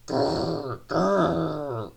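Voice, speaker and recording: A pompous, scheming diesel locomotive from a children's storybook, as a male reader acts it out. He speaks slowly and oilily, growling with temper, his pitch swinging widely. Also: big pitch swings. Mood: furious growling. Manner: oily, growling, roaring when angered.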